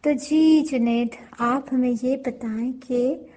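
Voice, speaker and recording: A woman with a high, mellow voice hosts a chatty interview show. She takes her time over each question and slips English phrases in as she goes. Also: steady tone, slow. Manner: mixes English phrases into talk. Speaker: host. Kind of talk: casual radio-show interview.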